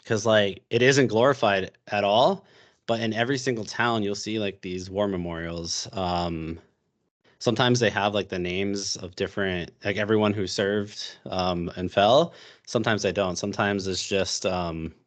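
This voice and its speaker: Low-voiced American man rambling casually. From United States. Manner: rambling, many fillers and restarts. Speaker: podcast guest, history YouTuber. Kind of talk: casual podcast chat.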